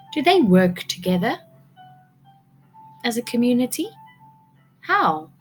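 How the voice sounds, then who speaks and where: A woman's voice: on the low side, low-quality recording. A woman teacher reading a picture book aloud to young children, expressively, prompting them with questions.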